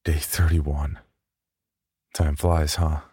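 A man's voice: extremely low pitch, level tone, very slow, mellow, husky, dry recording. A man hosting a podcast, giving informal announcements close to the mic. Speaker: podcast host.

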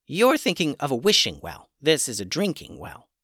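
A man's voice: fairly high pitch, wildly swooping pitch, moderate pace, pushed and bright, slightly rough, dry recording, pitched above the performer's own voice, heavily acted. A man voicing an awkward talking male chicken who makes dry jokes, in a storybook reading.

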